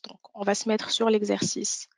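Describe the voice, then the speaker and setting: Creaky-voiced woman presenting a software demo. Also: mid-range voice, little pitch movement, moderate pace, no room sound. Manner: step-by-step explanatory. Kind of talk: instructional webinar walkthrough.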